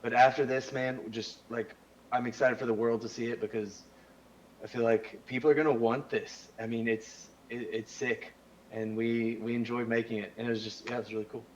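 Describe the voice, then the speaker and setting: A man's voice: mid-range voice, a little fast, lo-fi sound, a little hiss or hum. Slangy, eager male guest. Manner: enthusiastic, relaxed slangy speech. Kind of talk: casual chat.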